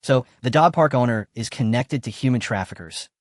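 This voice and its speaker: A man's voice: mid-range voice, normal speed, dry recording, clean studio sound, natural voice. A man voicing an earnest young male police officer who talks seriously.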